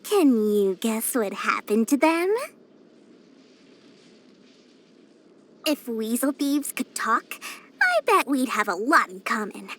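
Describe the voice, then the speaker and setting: A woman's voice: a little high, very animated, unhurried, a bit bright, a little hiss or hum. A woman voicing a small, greedy, boastful young female merchant in a video game, with smug, theatrical, wheedling sales patter. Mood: gleeful, boastful. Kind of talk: video game character voice lines.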